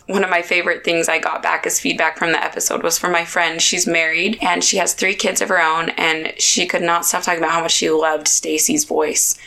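Raspy-voiced woman hosting a podcast. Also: on the low side, pushed and bright. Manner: upbeat, chatty. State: hoarse from a sore throat. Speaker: podcast host. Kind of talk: casual podcast chat.

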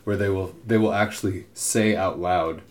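A young man co-hosting a casual podcast, telling little stories with a wry, animated delivery. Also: sits low, moderate pace. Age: young adult. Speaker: podcast co-host. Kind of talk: casual chat.

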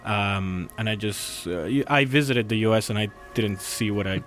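A man's voice: fairly low pitch, moderate pace, a bit bright, soft background music. A man in his thirties from Colombia, speaking English as a filmmaker guest. He is friendly and chatty, rambling a little and reaching for words with fillers. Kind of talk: casual interview chat.

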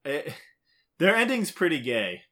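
Bright-voiced man in casual podcast chat. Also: fairly high pitch, huge pitch swings, a little slow. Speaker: podcast co-host. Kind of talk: casual chat about video games.

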